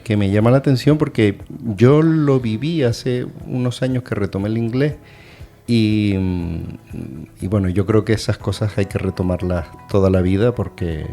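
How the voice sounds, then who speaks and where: A man's voice: lowish voice, warm tone. A middle-aged man hosting an educational radio chat, talking in a hesitant, thoughtful way with many fillers. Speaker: radio host and adult education teacher.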